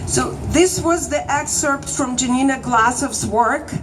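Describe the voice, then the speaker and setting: A woman's voice: high-pitched, a little slow, over music. A woman hosting a live literary event, reading and introducing writers to an audience. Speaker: event host and reader. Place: live event in a city alley. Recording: noisy live recording, distant mic, street ambience.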